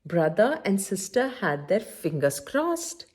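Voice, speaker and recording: A woman's voice: medium pitch, big pitch swings, slow pace, a little mellow, slightly rough. A woman reading a picture book aloud to young children, adding simple chatty explanations and checking in with her listeners. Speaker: children's story-time reader and host.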